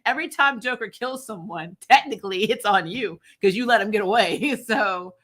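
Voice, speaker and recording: A woman's voice: medium pitch, moderate pace. A woman in casual, opinionated conversation, arguing bluntly and swearing freely. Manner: blunt, animated arguing, sweary, laughs often. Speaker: host of a comics and film review channel.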